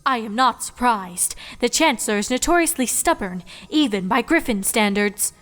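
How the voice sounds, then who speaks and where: A woman's voice: a little high, lively intonation, normal speed, a bit bright, long reverb tail, faint music behind. A woman voicing a regal pony princess of the night who speaks in a formal, old-fashioned way. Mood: formal, concerned. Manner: archaic, stately formal phrasing.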